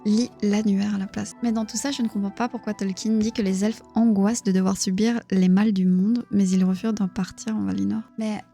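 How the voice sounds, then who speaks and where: A woman with a Belgian accent reading a book aloud on a live stream in a hushed, near-whispering voice, stopping to chat with her viewers. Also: medium pitch, little pitch movement, brisk, gravelly, hardly any pauses, faint music behind. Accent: Belgian French. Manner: reads narration in a hushed, near-whispered voice, chats plainly with viewers.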